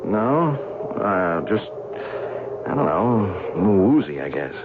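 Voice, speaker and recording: Low-voiced, skeptical radio drama investigator. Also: male voice, big pitch swings, slow, full character voice. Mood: sheepish, weary. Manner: colloquial, hesitant, wry. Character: skeptical, wry, weary male scientific investigator smitten by a mysterious girl. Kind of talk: old-time radio drama acting with first-person narration. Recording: noisy, muffled old radio show recording.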